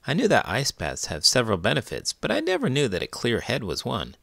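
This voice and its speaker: A man narrating a children's story as read-aloud host, expressive and deliberate. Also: mid-range voice, creaky, no room sound, studio quality.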